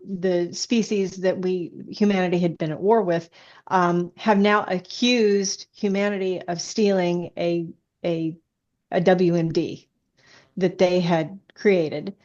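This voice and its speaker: A woman author being interviewed, chatting casually and explaining things in a halting, hesitant way. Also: fairly low pitch, flat delivery, a little mellow. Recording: dull, band-limited audio.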